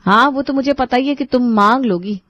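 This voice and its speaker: A woman playing a high-pitched, put-upon young sister-in-law. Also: fairly quick, a bit bright, dry recording. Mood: sarcastic, resentful. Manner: sarcastic, grudgingly polite. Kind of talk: dramatized storytelling with asides to listeners.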